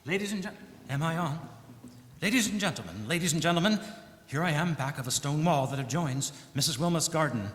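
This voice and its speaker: A man's voice: on the high side, expressive, fairly quick, lightly acted. A man playing a horrified radio news reporter giving a stammering, dramatic eyewitness account in a live old-time radio drama. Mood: tense. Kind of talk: live radio drama acting, 1930s news bulletin manner. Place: live stage performance in a church. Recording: reverberant church hall.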